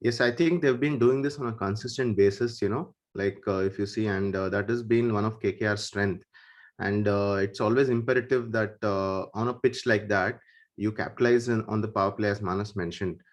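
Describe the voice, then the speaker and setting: Indian guy w a lowish voice chatting sports analysis, rambles a bit, casual. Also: fairly even intonation, a little fast. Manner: discursive, punctuated with "you know". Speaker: cricket show panelist.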